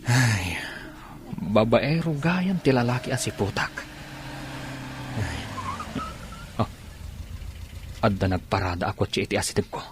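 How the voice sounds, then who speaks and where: A man's voice: on the low side, expressive, unhurried, pauses often, background noise. A man playing a wary hired snoop in an Ilocano radio drama. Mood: secretive.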